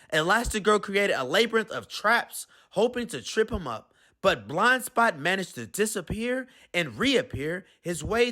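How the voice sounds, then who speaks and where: A man reading a children's storybook aloud with expressive narration. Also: high-pitched. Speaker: storybook reader.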